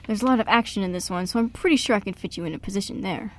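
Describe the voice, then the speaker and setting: A woman playing an excitable, old-fashioned male theater director in an amateur radio play. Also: mid-range voice, racing, lightly acted. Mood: friendly, curious.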